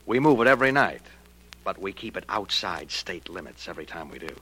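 An actor playing a slick gambling-ship owner, emphatic, evasive and defensive, in 1940s radio crime drama style. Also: male voice, sits low, big pitch swings, fairly quick, bright, forceful tone, husky, exaggerated voice, low-quality recording.

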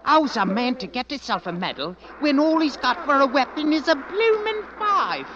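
An actor playing a brash young army fifer with a Cockney accent, grumbling and eager for glory in a radio drama. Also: male voice, very high-pitched, sing-song, normal speed, muffled recording. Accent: Cockney British. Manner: brash, slangy, grumbling bravado. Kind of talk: 1940s-50s radio drama acting. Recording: old band-limited radio recording, crowd noise.

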